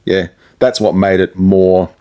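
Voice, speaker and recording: A man's voice: low voice, flat delivery, unhurried, mellow, smooth. A middle-aged man, a radio presenter, giving casual, conversational advice in an interview.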